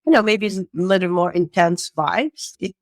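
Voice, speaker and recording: A woman's voice: fairly low pitch, steady tone, normal speed, no echo, clean studio sound. A middle-aged woman from Saudi Arabia, a podcast guest talking in a casual, anecdotal way.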